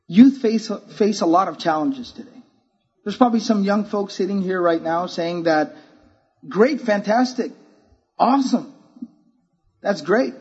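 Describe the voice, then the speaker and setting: A man's voice: high voice, a little mellow, slightly rough. A man from Texas giving a sermon at a conference, talking openly and casually, mixing jokes with earnest advice to young people. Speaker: speaker at a religious conference. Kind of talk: sermon to a youth-focused audience. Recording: muffled, dull lo-fi sound.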